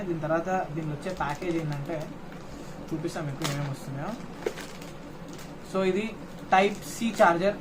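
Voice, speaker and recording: A man's voice: high voice, rough recording. A man with a Telangana Telugu accent chatting casually as in an unboxing vlog, informally mixing in English words. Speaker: motovlogger. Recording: faint background noise.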